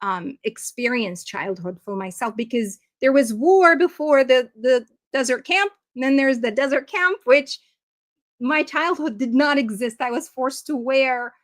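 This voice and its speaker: A woman's voice: high voice, measured pace. Encouraging woman presenting a parenting webinar. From Iraq. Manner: conversational, encouraging, frequent ums and uhs. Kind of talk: live webinar talk to parents.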